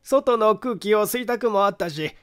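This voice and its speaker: Man voicing shifty, high-pitched, self-important oddball Akai. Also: moderate pace, fairly bright tone, smooth. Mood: excuse-making. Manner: pompous, coy, excuse-making.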